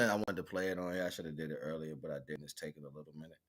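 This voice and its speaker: Very deep-voiced man, casual streamer. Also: animated, rapid-fire, pushed and bright. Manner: rambling, slangy, repeats catchphrases. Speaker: livestream host. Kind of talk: casual livestream chat.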